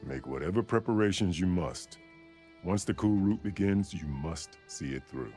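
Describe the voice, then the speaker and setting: An extremely low-voiced war chief, speaks with solemn authority and ceremony. It is video game drama, with quiet music behind him. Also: male voice, lively intonation, normal speed. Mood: solemn, commanding. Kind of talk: video game cutscene acting.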